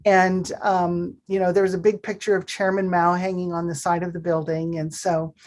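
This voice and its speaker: A woman raised in Pakistan, answering interview questions in a reflective, measured way with frequent fillers. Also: on the low side, level tone, darkish tone, slight background noise. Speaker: guest.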